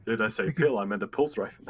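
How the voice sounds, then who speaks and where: A man's voice: fairly low pitch, fast talker, breathy edge. A man joking and chatting casually as a player in a tabletop roleplaying game, on a noisy microphone.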